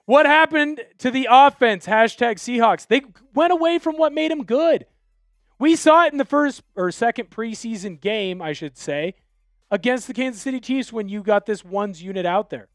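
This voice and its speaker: A man's voice: extremely high pitch, animated, moderate pace, fairly bright tone, dry recording. A young man from Washington State hosting a live football talk stream, opinionated and fired up as he reads out viewer texts. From Washington State, USA. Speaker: sports show host.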